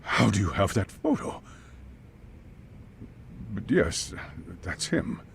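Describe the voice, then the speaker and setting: A man's voice: fairly low pitch, sing-song, slow, vocal fry, a little hiss or hum, full character voice. A man playing a polite, anxious school chairman in a video game cutscene, formal and hesitant. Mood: worried, pleading.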